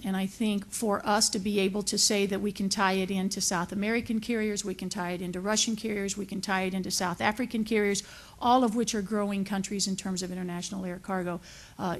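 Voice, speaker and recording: A woman testifies before a legislative committee. Her voice sits at an average pitch, and she lays out details in a semi-formal, explanatory style. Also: little pitch movement, quick pace.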